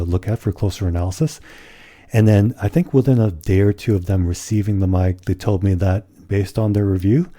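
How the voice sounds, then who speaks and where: A man co-hosting a podcast in casual chat, with a low, breathy voice and a measured, hesitant way of talking. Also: warm tone. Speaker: podcast co-host. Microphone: studio condenser microphone.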